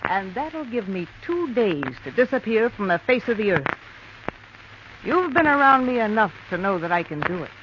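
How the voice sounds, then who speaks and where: A brazen, calculating female criminal from a vintage radio thriller, acted by a woman. Her delivery is clipped and theatrical, with lively swings in pitch. The old recording is dull and clearly noisy. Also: normal speed, lightly acted. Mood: cold, triumphant. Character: cold, cunning female criminal, brazen schemer. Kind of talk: 1940s radio drama acting.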